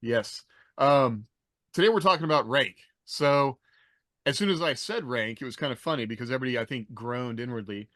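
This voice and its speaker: A man's voice: mid-range voice, fairly quick, fairly bright tone, no room sound. Middle-aged male podcast host, dry close sound. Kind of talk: casual panel talk with rehearsed introductions.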